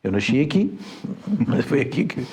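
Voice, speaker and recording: A man's voice: medium pitch, sing-song, moderate pace, a little mellow. A man from Portugal with a European Portuguese accent, a guest in a studio interview, explaining at length with frequent hesitant fillers. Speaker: guest, education group administrator.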